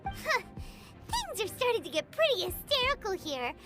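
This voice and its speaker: A woman voicing a tiny, cheeky fairy companion who chirps quick remarks in a video game. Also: very high-pitched, big pitch swings, loud music behind the voice. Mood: chirpy. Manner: chirpy, quick commentary, speaks of self in third person.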